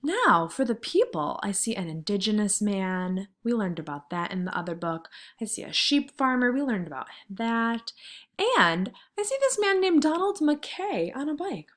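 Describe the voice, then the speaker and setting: Expressive woman teaching young children, higher-pitched voice. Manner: expressive, simple wording with questions to pupils. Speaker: kindergarten teacher. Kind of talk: read-aloud lesson for young children.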